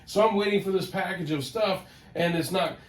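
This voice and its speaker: A man with a head cold, sounding nasal, hosting a casual collector vlog from his home office in an excitable, rambling way that repeats words. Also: high-pitched, moderate pace. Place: home office, ten-foot ceiling. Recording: roomy home office, mic at a distance.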